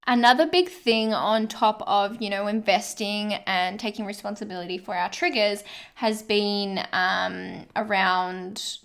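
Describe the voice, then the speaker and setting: A woman's voice: medium pitch, gravelly. Chatty woman podcast co-host. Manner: animated, quick asides, quotes herself. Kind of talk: casual podcast chat.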